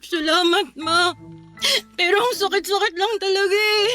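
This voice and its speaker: A tearful, high-pitched heartbroken daughter. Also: female voice, steady tone, normal speed, pushed and bright, faint music behind. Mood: heartbroken sobbing. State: crying. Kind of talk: Filipino radio drama acting.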